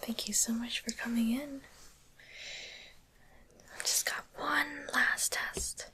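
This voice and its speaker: A woman plays a gentle female demon doctor in an ASMR exam roleplay. She whispers almost throughout, light and fairly high, with a soothing, courteous bedside manner. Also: steady tone, takes it slowly. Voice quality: breathy, mostly unvoiced whisper. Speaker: physician giving an exam.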